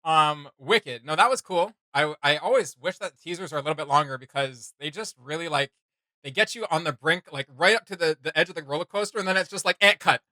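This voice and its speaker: Young guy reacting, bright higher voice, slangy and hyped, dry close mic. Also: fairly quick, no echo. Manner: enthusiastic, slangy. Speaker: reaction video host. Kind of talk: casual reaction chat.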